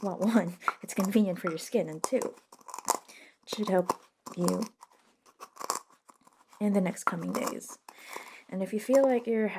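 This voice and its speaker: A woman playing a friendly, reluctant modern witch in a soft-spoken ASMR roleplay, casual, unhurried and slightly wry. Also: medium pitch, background noise, natural voice. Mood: gentle. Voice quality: soft, hushed delivery.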